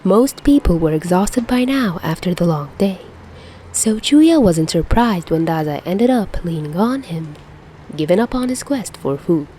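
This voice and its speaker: A woman's voice: fairly low pitch, animated, a little slow, mellow, slight background noise, low-quality recording. A woman reading a scripted story as a narrator, with music behind her. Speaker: fan fiction podfic reader.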